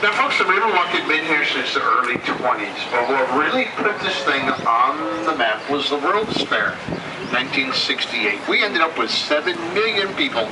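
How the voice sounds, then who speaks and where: An older man with an upstate New York accent narrates a river boat tour, folksy and fond of little jokes. His voice is caught from some distance away by a camera among the passengers. Loud outdoor noise runs underneath. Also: a little high, big pitch swings, moderate pace. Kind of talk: tour guide commentary. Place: open-air tour boat on a city river.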